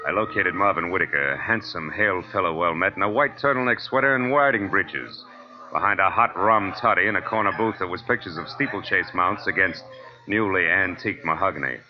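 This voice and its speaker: An actor playing a hard-boiled private detective who narrates a 1940s radio drama, dry and world-weary. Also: male voice, low voice, fairly quick, highs cut off, close to an everyday voice. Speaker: narrator and lead. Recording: old radio broadcast with music.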